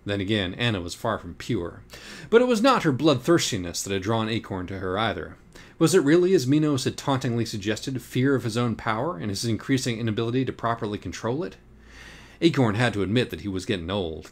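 A man reading scripted audiobook narration in a wry, deliberate, literary manner. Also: mid-range voice. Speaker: audiobook reader.

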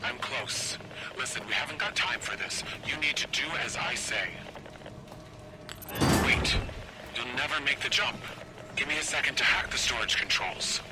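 An actor playing a calm, secretive male guide giving escape instructions in a video game. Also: medium pitch, moderate pace, pauses often, heavy background noise, natural voice. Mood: urgent, hushed. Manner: instructing, controlled. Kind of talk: dramatic video game acting. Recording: loud music over the voice.

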